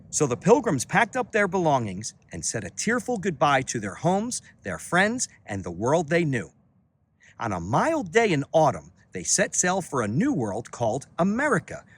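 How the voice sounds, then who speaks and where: A man reading a children's story aloud outdoors in an expressive, dramatic way, with deliberate phrasing and emphatic pauses. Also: on the high side, slightly rough. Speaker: children's read-aloud reader, teacher and entertainer. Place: outdoors on a beach.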